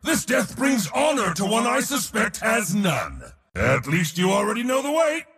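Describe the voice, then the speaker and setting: A haughty god of war for a video game, his voice very rough and gravelly, ringing with long reverb. He is pompous and mocking, swinging wildly between huge battle bellows and low sneers. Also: sing-song, moderate pace, fairly bright tone, heavily acted. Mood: scornful, gloating. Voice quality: raspy, gravelly, powerful. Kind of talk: video game hero voice lines.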